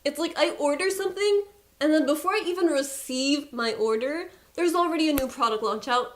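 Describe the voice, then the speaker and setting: A young woman with a Persian accent, a beauty YouTuber talking casually to camera, chatty and exasperated with playful asides. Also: high voice.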